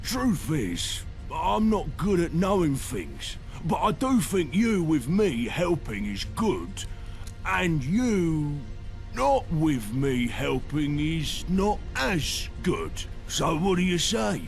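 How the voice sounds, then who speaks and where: An actor playing a simple, good-hearted big brawler in a video game, with a gravelly British voice and a halting, earnest manner that fumbles for words. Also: male voice, a little high, sing-song, faint music behind, heavily acted. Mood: pleading, hesitant. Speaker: companion character in a video game.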